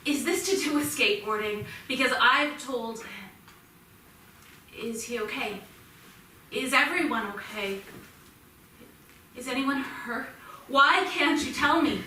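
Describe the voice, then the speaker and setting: Worried, pleading mother, played by a woman, unhurried higher voice, reverberant noisy stage recording. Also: sharp tone, exaggerated voice. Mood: alarmed, pleading. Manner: hesitant, worried questioning. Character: anxious, pleading mother of a teenage boy. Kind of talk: staged play reading. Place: small theatre, staged reading. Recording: noisy, very echoey theatre from far off.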